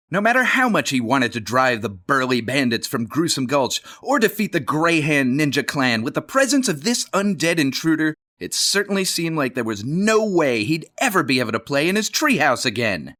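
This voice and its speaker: A man's voice: on the high side, sing-song, moderate pace, bright, forceful tone. A man narrating a children's storybook aloud with playful, dramatic emphasis. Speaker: storybook reader.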